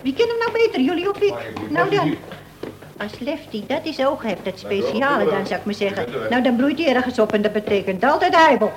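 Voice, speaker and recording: An actress playing a brash, streetwise convict's wife in a Dutch radio drama, blunt, scolding and coarse-spoken. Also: female voice, high voice, very animated, a little fast, a crowd in the background. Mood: defiant.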